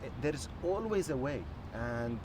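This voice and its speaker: A middle-aged man from Lebanon talks earnestly and reflectively, stopping and restarting as he picks his words. His voice sits in an ordinary middle pitch. A steady noise runs behind him, as if inside a moving car. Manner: earnest, measured, with frequent restarts. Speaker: guest, former executive. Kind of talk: reflective interview conversation.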